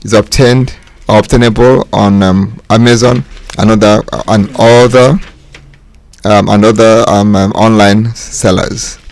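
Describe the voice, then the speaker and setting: A man's voice: fairly low pitch, steady tone, a little slow, a little mellow. A male author talking about his book on the radio, hesitant with fillers. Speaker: author and guest. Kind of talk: casual radio talk.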